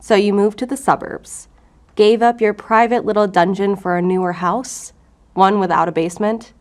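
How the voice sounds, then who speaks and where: A woman's voice: mid-range voice, steady tone, normal speed, darkish tone, natural voice. A woman acting in an audio drama as a hard-edged FBI special agent, blunt, probing and short-tempered. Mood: probing, cool.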